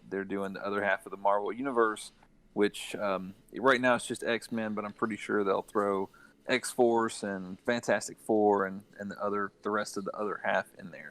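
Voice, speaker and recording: Low-pitched man chatting casually. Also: fairly bright tone. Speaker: podcast panelist. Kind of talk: casual chat with plugs.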